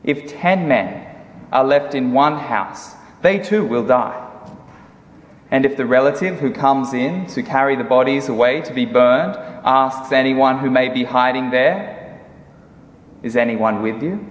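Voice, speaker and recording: Slow, solemn man in an echoing hall. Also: medium pitch, lively intonation. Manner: solemn, declamatory, deliberate phrasing. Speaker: Bible reader in a dramatic reading. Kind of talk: dramatic scripture reading. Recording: echoey hall with long reverb.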